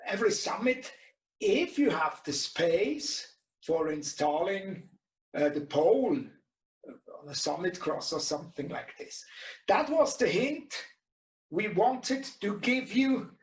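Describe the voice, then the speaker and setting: A middle-aged man with a Swiss German accent speaks in a high voice at an unhurried, slow pace. A native German speaker, he explains things informally and haltingly, searching for words. He sounds some way from the microphone in an echoey room. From Switzerland. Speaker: webinar presenter and radio shop co-owner. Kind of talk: informal product tutorial. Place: small indoor radio workshop. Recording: echoey room, distant mic.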